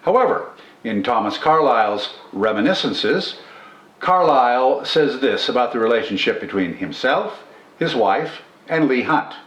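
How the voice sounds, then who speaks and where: An older man presenting poetry in a formal, measured, scripted style, enunciating carefully. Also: mid-range voice, lively intonation, a bit bright. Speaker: host of a poetry reading channel. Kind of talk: scripted literary commentary and poetry recitation. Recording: roomy indoor echo.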